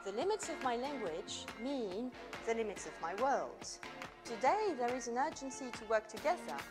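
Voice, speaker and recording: High, very animated woman over music. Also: moderate pace. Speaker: promotional video presenter. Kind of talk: scripted upbeat promotional narration. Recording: video played with music behind.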